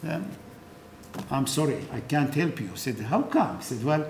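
A man's voice: mid-range voice, big pitch swings, normal speed, darkish tone. A man giving an earnest lecture answer, acting out conversations and often checking in with "okay?". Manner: earnest, re-enacts dialogues, frequent "okay?" tags. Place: lecture room. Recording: echoey lecture hall, faint hiss.

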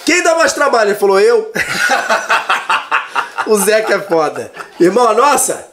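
Animated high-voiced man in his thirties. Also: takes it slowly, heavy background noise. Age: 30s. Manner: animated, jokey storyteller. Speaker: podcast guest, lawyer. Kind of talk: casual chat.

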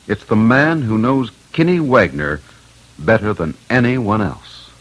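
A man hosting an old-time radio crime documentary, reading scripted narration in an emphatic, deliberate, dramatic way. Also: fairly low pitch, animated, poor audio. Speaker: host and reporter of a crime documentary radio show. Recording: old radio recording with music.

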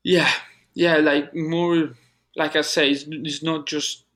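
A man from Colombia with a Colombian Spanish accent, a native Spanish speaker, answering as an interview guest in earnest, halting speech that repeats words. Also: fairly high pitch, level tone, fairly bright tone. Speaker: guest, soccer club operations manager. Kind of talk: casual interview conversation.

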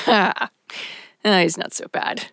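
A woman's voice: mid-range voice, slow, vocal fry, dry recording, clean studio sound. A woman playing a guarded, self-doubting police officer in an audio drama, speaking in terse, subdued replies. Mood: relieved. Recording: loud noise and clicks behind.

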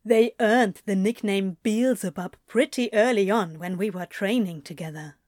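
A woman's voice: medium pitch, moderate pace, a little mellow, no echo, clean studio sound, natural voice. A woman playing a mischievous, flirty male yoga instructor in a fan fiction audio drama, with a teasing, murmuring drawl. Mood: fond, teasing.

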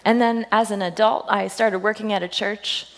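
A woman pastor with a husky, slightly hoarse voice speaks to a church congregation. She tells stories and jokes playfully, slipping into quoted voices. Her words trail off in the long reverb of a large sanctuary. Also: medium pitch, moderate pace. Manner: playful storytelling, self-deprecating jokes, lively quoted voices. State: husky voice today. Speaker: senior pastor. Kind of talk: humorous sermon to a congregation.

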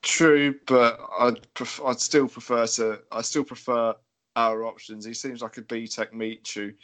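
A young man co-hosting a casual podcast, talking in energetic, quick bursts of opinion. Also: medium pitch. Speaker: podcast co-host. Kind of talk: casual chat.

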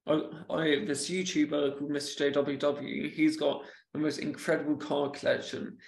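A man chatting casually on a podcast, speaking in a halting way with repeated words from a stammer. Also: a little high, creaky, reverberant, rough recording.

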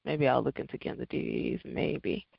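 A woman co-hosting a podcast, chatting casually and telling things in an animated way. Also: a bass voice, fairly quick, creaky, slightly rough, highs cut off. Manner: animated storytelling. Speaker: podcast co-host. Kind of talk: casual chat.

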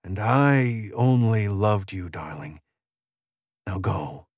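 Man voicing a rasping vengeful undead gunslinger. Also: fairly low pitch, lively intonation, slow, no echo, clean studio sound. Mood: tender. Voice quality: raspy. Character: rasping cold vengeful gunslinger back from the dead.

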